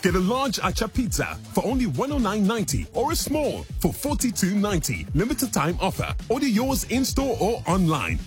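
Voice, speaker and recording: A man with a South African accent voicing a bold, lively radio ad. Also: normal speed, hardly any pauses, soft background music. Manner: bold, lively sales pitch. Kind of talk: radio ad voice-over.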